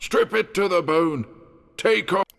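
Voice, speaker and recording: A man voicing a menacing, taunting supernatural stalker with a creaky, drawn-out, sinister delivery, heard in long cavernous reverb in an audio drama. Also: sits high, fairly bright tone, voice pushed up, exaggerated voice. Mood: taunting, commanding. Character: menacing, taunting male supernatural stalker speaking over radio.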